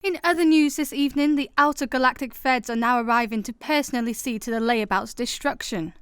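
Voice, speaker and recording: An actress playing a deadpan, formal live news reporter in a comedy sci-fi radio play. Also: female voice, high-pitched, brisk, a little hiss or hum, natural voice. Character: deadpan female space newspaper reporter on live broadcast.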